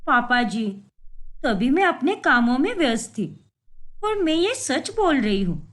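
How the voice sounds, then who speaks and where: A woman's voice: sits high, big pitch swings, measured pace. A woman voicing a defensive, aggrieved young wife who complains and justifies herself.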